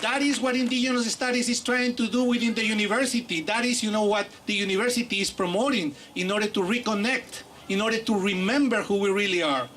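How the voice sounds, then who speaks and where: A man with a Peruvian accent and Aymara as his first language speaks at a rally. His voice is high and bright, and he talks reflectively like an academic, pausing mid-phrase, over faint event noise. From Peru. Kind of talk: public rally speech.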